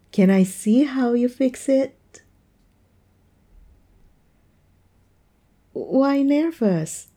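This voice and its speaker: A woman's voice: on the high side, warm tone, full character voice. A woman acting an oversensitive young woman in an intimate audio roleplay, speaking to her partner in a hesitant, plaintive way. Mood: surprised, softening. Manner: hesitant, plaintive, halting delivery. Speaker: roleplay voice performer. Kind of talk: intimate audio roleplay, one-sided dialogue.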